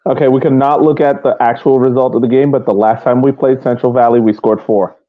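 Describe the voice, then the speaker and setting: A man's voice: mid-range voice, fairly even intonation, fairly quick, dry recording. A man chatting as a sports podcast panelist, reasoning aloud a little hesitantly and repeating his phrases. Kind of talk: casual sports podcast chat. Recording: thin phone-like call audio.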